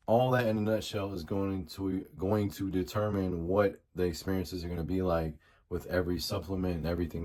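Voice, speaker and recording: Low-voiced man in his late thirties. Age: late 30s. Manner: rambling, repeats and rephrases himself. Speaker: vlogger reviewing a supplement. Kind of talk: casual talk to camera.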